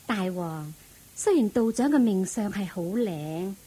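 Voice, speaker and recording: Queen female actor, Hong Kong Cantonese radio drama: mid-pitched, husky, composed, comforting wife. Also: lively intonation, unhurried, dark tone. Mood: soothing. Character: calm, reassuring queen, supportive wife of a king.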